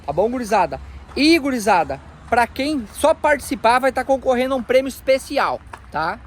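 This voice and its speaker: High-voiced man pitching a raffle. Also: big pitch swings, normal speed, a little hiss or hum. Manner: chatty, repeats "tá bom, meus amigão". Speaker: truck shop owner running a raffle. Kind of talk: casual promo talk to followers.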